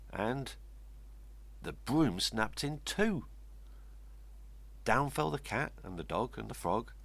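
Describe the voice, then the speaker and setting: An older man with a gravelly, husky voice reading a rhyming children's story expressively. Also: fairly low pitch. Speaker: story reader. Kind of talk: expressive read-aloud children's storytelling in rhyme.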